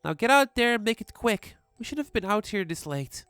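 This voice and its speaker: Woman as bossy, animated older girl. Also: mid-range voice, brisk, clean tone, dry recording, soft background music. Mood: scolding. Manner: stern, commanding. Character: bossy older village girl, scolding and responsible.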